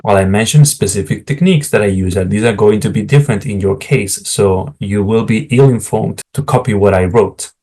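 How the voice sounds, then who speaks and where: A man's voice: fairly low pitch, a little fast, few pauses, dark tone. A middle-aged man from Spain, a native Spanish speaker with a Spanish accent, frankly explaining how to write academic job materials and often turning to the viewers with asides. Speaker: academic career advisor. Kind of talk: explanatory talk to camera.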